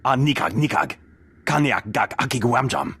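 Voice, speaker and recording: A man voicing a shrewd, blustering alien gangster in a video game, with a guttural, gravelly delivery in an invented alien tongue. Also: mid-range voice, sing-song, normal speed, pushed and bright, full character voice. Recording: music under the voice.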